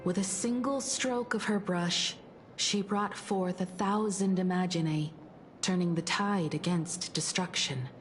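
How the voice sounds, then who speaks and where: Female actor as composed heroic daughter, game drama, lowish voice, measured, poised, resolute, music behind. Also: fairly even intonation, close to an everyday voice. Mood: solemn storytelling. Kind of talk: dramatic video game voice acting.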